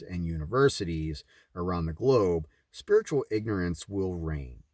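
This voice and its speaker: A man's voice: low voice, darkish tone, studio quality. A man reading a scripted religious text aloud as narration. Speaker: reader of a religious book. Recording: roomy echo, distant from the mic.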